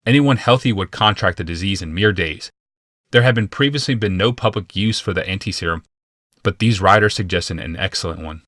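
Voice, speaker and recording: Low, forceful male audiobook narrator. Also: brisk, dry recording, clean studio sound. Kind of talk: scripted dramatic narration of fantasy fiction.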